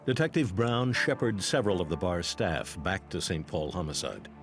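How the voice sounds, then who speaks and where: A man's voice: deep voice, lively intonation, normal speed, over music. A man with an American accent reading a scripted true-crime documentary narration.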